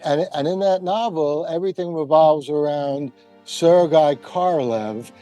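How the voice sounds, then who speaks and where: A man's voice: fairly high pitch, a little slow, dark tone, soft background music. An older man talking casually as a panel guest, wry and emphatic, wandering into asides as he explains his opinions. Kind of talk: casual discussion, storytelling.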